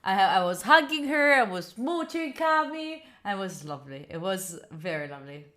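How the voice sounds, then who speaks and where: A woman's voice: mid-range voice, very animated, moderate pace, bright, forceful tone. A young woman with an Italian accent chatting casually on a live stream, rambling and giggling with lots of fillers. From Italy. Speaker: study streamer.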